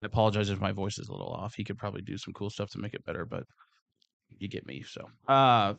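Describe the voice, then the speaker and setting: A man with a slightly hoarse voice, speaking as a security supervisor in casual workplace talk, rambling and restarting his words. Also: lowish voice, fairly even intonation, quick pace, a bit bright, dry recording, muffled recording. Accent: Midwestern American.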